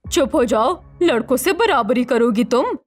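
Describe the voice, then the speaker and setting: A woman's voice: sits high, expressive, unhurried, breathy edge, full character voice. A woman voicing a stern middle-aged mother in a cartoon story, scolding and dismissive. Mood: scornful.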